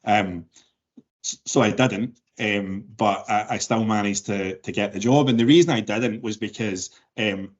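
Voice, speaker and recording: Glaswegian man, low voice, unhurried answers. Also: dull audio. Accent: Glaswegian Scottish, softened. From Scotland. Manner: thoughtful, frequent word repeats and fillers. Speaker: interview guest. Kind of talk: conversational interview answers.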